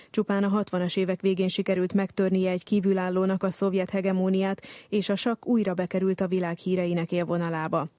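A woman reading scripted documentary narration in an even, measured way. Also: mid-range voice, dry recording.

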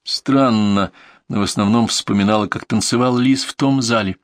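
A man's voice: lowish voice, normal speed, no room sound. A man reading a scripted first-person story as an audiobook narrator.

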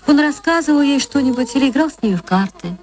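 A woman actress narrating a literary story for radio in a measured, theatrical storytelling manner. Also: high voice, expressive, darkish tone.

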